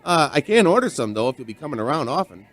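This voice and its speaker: An actor playing a chatty, folksy bar owner, rambling conversationally through a radio drama monologue. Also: male voice, on the high side, very animated, fast, a bit bright. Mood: reflective, curious.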